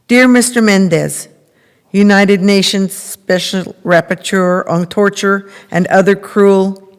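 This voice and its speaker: A middle-aged woman reads a prepared text formally. Her voice is clean and resonant. It carries through a large reverberant sanctuary from a podium microphone. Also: mid-range voice. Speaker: readers theater performer. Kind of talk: formal scripted reading of testimony and letters. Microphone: podium microphone on a boom. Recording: reverberant hall.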